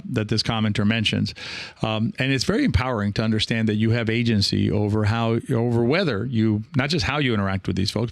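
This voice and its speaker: A man hosting a solo podcast in a reflective, halting way, often correcting himself and checking in with the listener. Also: mid-range voice, gravelly, dry recording, studio quality. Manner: halting, self-correcting, often seeking agreement with "right?". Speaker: podcast host.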